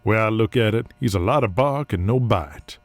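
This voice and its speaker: Man playing a low-voiced, brooding outlaw wrestler. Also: normal speed, no echo, close to an everyday voice. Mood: guarded, gruff. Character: earnest, hard-bitten outlaw pro wrestler. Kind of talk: audio drama acting.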